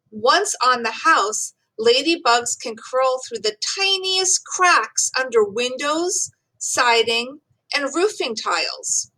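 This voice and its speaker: A woman teacher reading aloud to children in an expressive, deliberate storybook style with clear emphasis. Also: sits high, poor audio. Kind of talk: expressive children's read-aloud of rhyming poem and facts.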